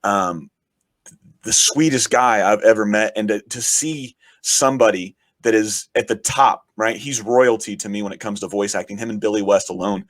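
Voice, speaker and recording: A man, a podcast guest who is also a podcast host, talking in rambling, enthusiastic casual chat, his voice raspy from shouting. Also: lowish voice, moderate pace, no room sound. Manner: rambling, enthusiastic, frequent swearing.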